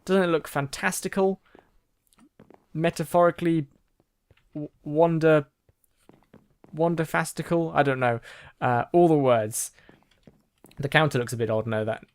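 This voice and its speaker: A man's voice: on the high side, clean tone, dry recording. A man hosting a gaming video, chatting casually as he builds, rambling with ums and restarts. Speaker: gaming channel host. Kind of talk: casual gameplay commentary.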